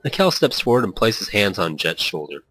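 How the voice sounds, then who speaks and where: A man's voice: lowish voice, big pitch swings, moderate pace, fairly bright tone, slightly rough, dry recording. A man casually reading a visual novel aloud and joking about it, stumbling and correcting himself as he goes. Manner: jokey, laughs often, reads with stumbles and self-corrections. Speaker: let's play host reading a visual novel. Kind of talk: casual commentary and reading aloud with quoted character lines.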